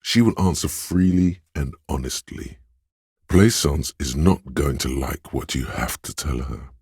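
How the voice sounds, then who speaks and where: Very deep, husky inner voice. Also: male voice, darkish tone, no echo, clean studio sound, heavily acted. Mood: knowing, coaxing. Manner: measured, deliberate, dramatic. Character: smooth, insinuating inner voice of social intuition, coaxing, intimate. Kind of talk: scripted game narration as an inner voice giving social advice.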